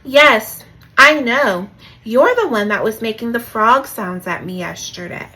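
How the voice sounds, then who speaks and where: Woman as little toad schoolgirl in a children's story, fairly high bright voice, frank and sincere. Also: lively intonation, measured pace. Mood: hurt, reproachful. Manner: frank, earnest. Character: young girl toad, hurt but forgiving schoolchild.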